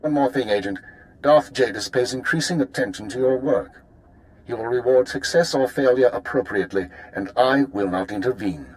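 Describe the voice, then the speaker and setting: An actor playing a composed, exacting spymaster who gives measured, precise mission briefings in a video game. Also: male voice, mid-range voice, natural voice, rough recording. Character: composed male intelligence handler, formal and exacting.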